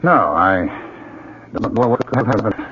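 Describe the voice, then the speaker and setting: An actor playing a grave, protective older father and retired doctor in a 1970s radio drama, measured, probing and stern. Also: lowish voice, lively intonation, a bit bright, slight background noise. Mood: concerned. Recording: dull old radio broadcast.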